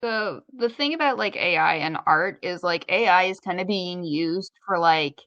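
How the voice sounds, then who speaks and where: A woman's voice: fairly low pitch, normal speed, fairly bright tone, dry recording, dull audio. A woman co-hosting a podcast, explaining things in an informal, conversational way. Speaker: podcast co-host. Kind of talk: casual conversational podcast chat.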